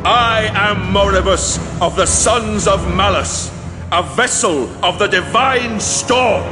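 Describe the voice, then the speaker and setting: Guy doing a haunted fierce armored warrior for a fantasy audiobook, slow and declaiming, big reverb. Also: high-pitched, voice pushed up. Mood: defiant battle fury. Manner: declamatory, halting. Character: armored holy warrior, male, fierce but haunted and faltering. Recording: long reverb, music underneath.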